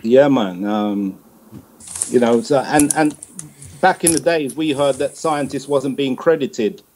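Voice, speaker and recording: A man's voice: medium pitch, measured pace, a little mellow, slight background noise. A middle-aged man giving a conversational interview answer as a guest, often repeating words as he feels his way along. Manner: hesitant, repeats words as he goes. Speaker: guest, music promoter and manager.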